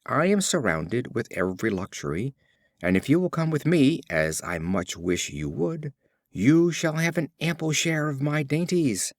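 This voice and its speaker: A man's voice: mid-range voice, expressive, a little slow, no room sound, clean studio sound. A man voicing a worldly, hospitable town mouse from a fable, in a grandly inviting, florid way. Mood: proud, inviting. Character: hospitable, worldly male town mouse proud of his luxury.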